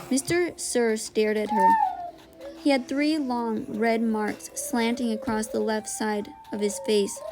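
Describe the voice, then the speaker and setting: A woman's voice: fairly high pitch, creaky, low-quality recording. A woman narrator reading a children's novel aloud in audiobook style.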